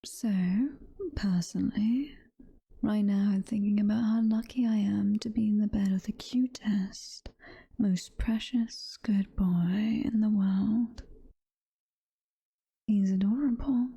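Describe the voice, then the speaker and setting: A woman's voice: medium pitch, steady tone, gravelly, warm tone, slightly rough, no room sound, exaggerated voice. A British woman playing a doting, possessive demon girlfriend in an intimate ASMR roleplay, whispering and cooing in a motherly way. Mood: adoring, soothing. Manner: whispered, slow, intimate cooing with pet names. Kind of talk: soft-spoken ASMR roleplay.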